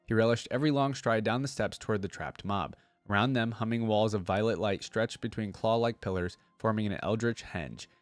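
A young man with a fairly low voice speaks close to the mic in a dry indoor setup. He moves between expressive reading of story lore and casual, conversational commentary as a reaction host. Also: fairly quick, hardly any pauses, dry recording, faint music behind. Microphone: close desk microphone on a boom arm.

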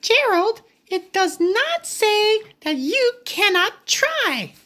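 A performer voicing an excitable, bossy little female piglet dance teacher in a squeaky voice for a children's picture book. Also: slow pace, exaggerated voice. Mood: encouraging. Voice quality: high, squeaky. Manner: chirpy, commanding, exclaiming.

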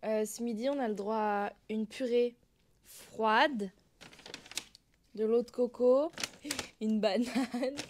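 A woman's voice: fairly high pitch, steady tone, slow pace, pauses often, darkish tone. A young woman in her twenties, a skier chatting casually to an action camera, nervous and giggly. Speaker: freestyle skier vlogging. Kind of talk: casual vlog chat. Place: outdoors, walking around a city and ski venue. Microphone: action camera held at arm's length.

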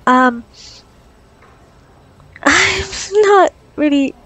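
A woman's voice: sits high, animated, drawn-out words, a bit bright, full character voice. A woman playing a flustered, awkward young doctor on a date in an ASMR roleplay, stammering nervously and self-consciously. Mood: shy, flustered.

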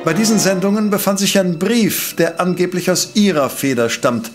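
An actor playing a shrewd, self-assured professor who investigates crimes in a German audio drama, with formal, elaborate phrasing. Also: male voice, high voice, moderate pace, music in the background, lightly acted. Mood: probing, assured. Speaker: detective professor.

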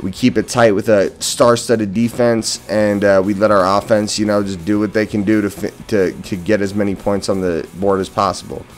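A man's voice: fairly low pitch, flat delivery, moderate pace, few pauses. An American man giving casual post-game football commentary as a fan and host, opinionated and emphatic on key words. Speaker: sports podcast host and fan. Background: background music.

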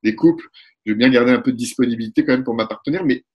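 A man in his forties, a couples therapist, explaining ideas in a lively webinar talk and acting out short exchanges, recorded through a webcam at home. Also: medium pitch, husky, muffled recording. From Italy. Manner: animated, gestures a lot, acts out quick exchanges. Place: room at home. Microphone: webcam on a video call.

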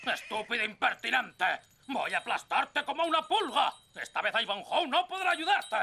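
A bellowing brute knight. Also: male voice, very high-pitched, big pitch swings, normal speed, bright, forceful tone, heavily acted. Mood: furious. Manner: bellowing, threatening. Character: brutish furious knight Front de Beef, bullying. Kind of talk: cartoon dub acting.

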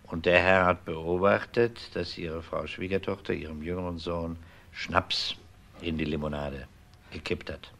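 An actor playing a persistent, no-nonsense police detective with a probing, matter-of-fact manner in a crime radio drama. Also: male voice, deep voice, steady tone, measured pace, creaky, a bit bright, exaggerated voice. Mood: sober, matter-of-fact.

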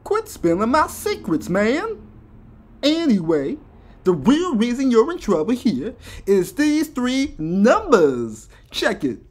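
A woman's voice: a little high, wildly swooping pitch, takes it slowly, a little hiss or hum, full character voice. A woman playing a brash, scheming turncoat musician villain with a sly, mocking manner in a fan-made manga drama.

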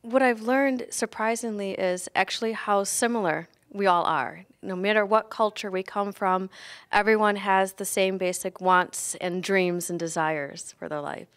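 A middle-aged woman from near Detroit, a teacher, answering thoughtfully with small pauses in a classroom interview. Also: medium pitch, fairly even intonation. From Detroit area, United States. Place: classroom indoors.

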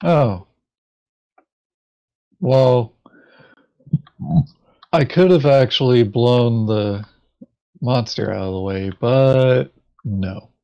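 A man thinking aloud haltingly as he plays a tabletop game in casual chat. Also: mid-range voice, dark tone. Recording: dull lo-fi voice chat audio.

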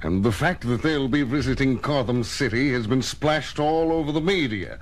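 A man's voice: mid-range voice, normal speed, close to an everyday voice. Man playing a cautious, worried police commissioner. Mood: concerned, guarded. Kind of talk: 1970s children's audio drama dialogue. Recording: old vinyl record with music.

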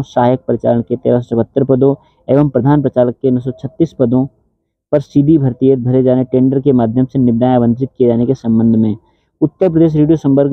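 A man's voice: medium pitch, no echo. A man hosting an exam-prep update, explaining an official notice informally and addressing his viewers directly. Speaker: exam-prep channel host. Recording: dull phone-like sound, dry.

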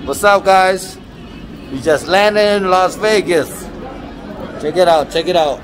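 A man's voice: high-pitched, slow pace, music in the background, a crowd in the background. A man who is a Lao speaker, chatting casually and informally to viewers as a travel vlogger, pointing out sights. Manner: informal, mixes two languages while pointing out sights. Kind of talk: casual vlog chat to viewers. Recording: outdoor wind and vehicle noise.

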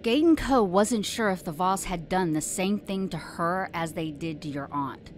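A woman's voice: lowish voice, lively intonation, moderate pace, slight background noise, lightly acted. A woman playing a curt, tough female guide in a sci-fi audio drama. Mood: matter-of-fact. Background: music.

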